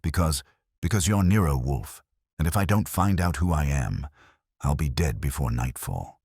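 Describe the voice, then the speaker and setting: A man acts out a fragile, glamorous actress in a mystery story. The delivery is hesitant, pleading and dramatic, in a low, slightly husky register with lively pitch. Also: moderate pace, no echo, studio quality, exaggerated voice. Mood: desperate.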